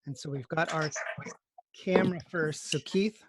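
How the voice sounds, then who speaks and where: A man's voice: fairly high pitch, unhurried, noisy background. A man teaching conversationally on a video call, organizing his students with polite encouragement. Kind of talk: conversational online class instruction.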